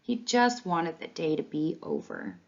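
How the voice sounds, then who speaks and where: A woman reading a children's storybook aloud in an expressive, animated way. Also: low voice, unhurried, gravelly. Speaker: read-along reader. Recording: dull, lo-fi sound.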